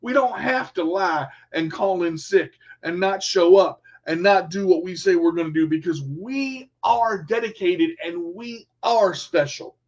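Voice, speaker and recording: A man's voice: sits high, a little slow, hardly any pauses, dull audio. A man hosting his own radio show, giving an emphatic motivational talk to listeners, repeating key phrases and checking in with "okay?". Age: adult with a son. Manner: emphatic, repetitive, talks straight to listeners. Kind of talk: motivational monologue.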